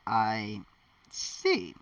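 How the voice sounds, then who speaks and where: A man's voice: fairly low pitch, wildly swooping pitch, extremely slow, a bit bright, clean tone, no echo, voice pushed down, heavily acted. Man reading as a courteous, puzzled professor. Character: new male professor, courteous but puzzled. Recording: distant mic, dull lo-fi sound.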